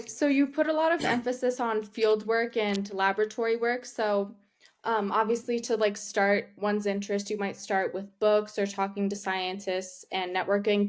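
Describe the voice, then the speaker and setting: A young woman interviewing a professor, asking questions casually with frequent filler words. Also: mid-range voice.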